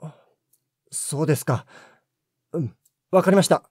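An actor playing a brisk, polite recording director in an audio drama, businesslike in manner. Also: male voice, fairly high pitch, very noisy, natural voice. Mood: matter-of-fact. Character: businesslike recording director running a studio session.

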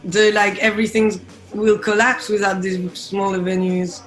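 A woman's voice: medium pitch, little pitch movement, normal speed. A young woman from France with a French accent, chatting casually as an interviewed singer on a video call. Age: young adult. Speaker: band singer being interviewed. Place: indoor room by window, video call. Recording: roomy echo, music behind.

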